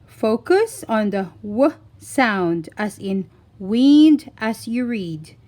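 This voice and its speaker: Very slow-paced woman teaching phonics. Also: a little high, big pitch swings, warm tone. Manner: deliberate, instructional, enunciating single words. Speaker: children's phonics teacher and reader. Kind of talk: scripted read-aloud phonics lesson.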